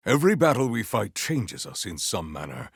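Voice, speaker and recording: Stoic video game warrior, formal measured diction, heavy gravelly creak, close dry recording. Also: male voice, on the low side, very animated, no echo, full character voice.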